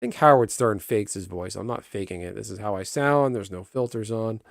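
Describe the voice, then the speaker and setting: A man chatting casually on a stream, rambling and breaking off mid-sentence, answering his viewers in a calm, even voice. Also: fairly low pitch, fairly quick, vocal fry, dry recording, clean studio sound. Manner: rambling, self-interrupting, chats with viewers. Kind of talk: casual live-stream chat.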